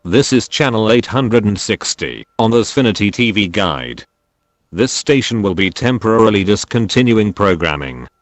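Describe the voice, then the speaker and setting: A synthetic male voice delivers a formal emergency broadcast script. It sits fairly low and swings widely in pitch as it announces. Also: no room sound.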